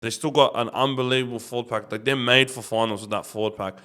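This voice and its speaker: A man's voice: mid-range voice, fairly even intonation, fast talker, bright, forceful tone. An Australian man in casual sports banter as a podcast panellist, slangy and opinionated, repeating himself for emphasis. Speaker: rugby league podcast panellist.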